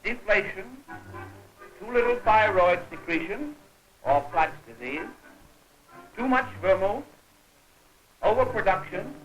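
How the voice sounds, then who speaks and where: A man delivering a deliberate, mock-learned satirical monologue on an old archival recording. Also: sits high, a bit bright, rough recording.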